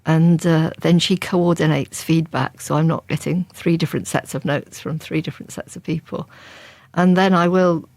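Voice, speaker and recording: A woman's voice: sits low, fairly even intonation, normal speed, darkish tone, dry recording. An older woman, a crime novelist, answering interview questions in a chatty, thoughtful way with frequent restarts.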